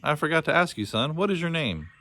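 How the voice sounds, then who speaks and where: Folksy old codger for a storybook, played by a male reader: cheerful, absent-minded, lifted in pitch. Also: sing-song, fast talker, pushed and bright, exaggerated voice. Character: cheerful, forgetful old man running a lost-things land. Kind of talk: storybook character voice.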